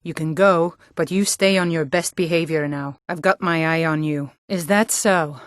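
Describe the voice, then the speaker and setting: A woman's voice: deep voice, normal speed, no room sound, studio quality, natural voice. A woman playing a plain-spoken, sturdy Nord townswoman and guard in a fantasy video game, with a firm, direct manner. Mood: stern, suspicious.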